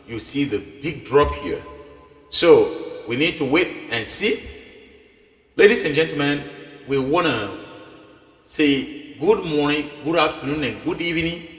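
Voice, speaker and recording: A man's voice: a little high, lively intonation, unhurried, pauses often, roomy sound, big-room sound, faint music behind. A man hosting a live forex trading stream, speaking English as a later language after his own dialect. He rambles, repeats himself and breaks off to restart sentences. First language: a local dialect; English and French are later languages. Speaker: forex trading channel host.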